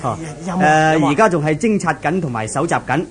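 An actor playing a careful, courteous police officer with a Hong Kong Cantonese accent, measured and official, in a 1970s radio drama. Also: male voice, a little high, animated, lightly acted.

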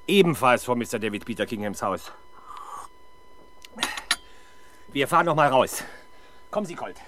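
A man's voice: medium pitch, lively intonation, fast talker, a bit bright, close to an everyday voice. An actor playing a sardonic, exasperated male police detective in a 1970s German radio crime drama, with dry, sarcastic delivery. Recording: 1970s radio production with faint background noise.

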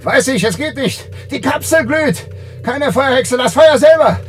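An actor playing a terse space test pilot in a German radio play, answering in clipped, telegram-like phrases. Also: male voice, very high voice, expressive, a little slow. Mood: agitated, frightened. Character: terse male space test pilot, obedient but increasingly frightened. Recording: music behind the voice.

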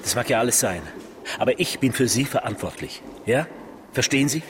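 A stern, protective senior police officer played by a man with an animated, mid-pitched voice. He admonishes emphatically in naturalistic radio drama dialogue, with faint ambience behind him. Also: brisk, background noise, lightly acted. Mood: admonishing, protective. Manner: admonishing, emphatic, checking questions. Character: stern but caring senior male police officer, mentor.

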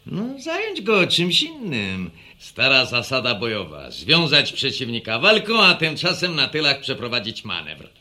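An actor playing a jovial, scheming, hard-drinking villager in a radio drama, chuckling and teasing in a folksy way. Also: male voice, mid-range voice, wildly swooping pitch, unhurried, bright, forceful tone, heavily acted. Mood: amused, scheming.

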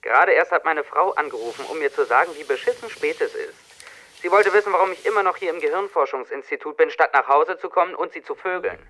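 An actor playing a brash, foul-mouthed, needling brain researcher in a radio crime drama. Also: male voice, on the high side, animated, fast talker, sharp tone. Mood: cheekily crude. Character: brash, crude, cheeky male brain-institute researcher.

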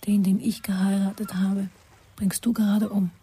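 An actress playing an estranged wife in a radio crime drama, resigned and coolly reproachful. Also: female voice, mid-range voice, little pitch movement, moderate pace, lightly acted.

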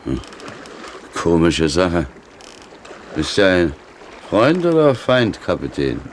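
A gruff, suspicious sailor with an extremely deep voice that swings widely in pitch. He grumbles bluntly yet obeys, in seventies radio-play style, with faint water noise behind him. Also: sing-song, slow pace, full character voice. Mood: suspicious, grumbling. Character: gruff, suspicious seaman, obedient but baffled. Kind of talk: 1970s German radio drama acting.

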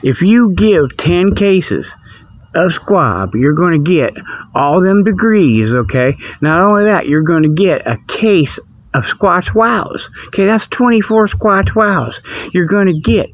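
A man's voice: high voice, lively intonation, measured pace, no echo. A middle-aged American man hosting a comic show, rambling off the cuff in a folksy, repetitive, colloquial way. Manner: folksy, colloquial, repeats himself, loses his thread. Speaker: host of a comedic radio-style show. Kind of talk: rambling, improvised comic monologue. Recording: dull lo-fi radio-like sound.

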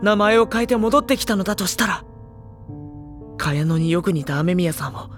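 A man playing a sensible, earnest high-school boy in an anime audio drama, with a youthful delivery. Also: lively intonation, moderate pace, fairly bright tone, faint music behind. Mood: brooding, tense. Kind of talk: anime audio drama acting with inner monologue.

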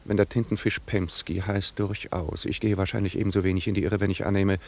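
Husky, sardonic gentleman. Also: low voice, level tone. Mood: sardonic, cool. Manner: dry, ironic, measured. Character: sardonic, urbane upper-class husband, icily polite. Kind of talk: 1950s German radio drama acting.

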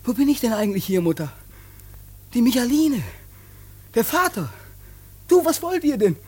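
A man's voice: very high-pitched, very animated, moderate pace, a little mellow, breathy edge, exaggerated voice. A man acting in a radio drama as a defiant, bitter and tormented young son, with a strained voice. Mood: agitated, estranged.

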